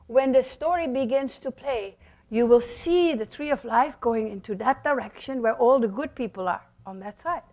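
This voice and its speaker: A woman's voice: a little high, darkish tone. A woman presenting puppetry in an explanatory storytelling talk, theatrical and emphatic, with rhetorical questions.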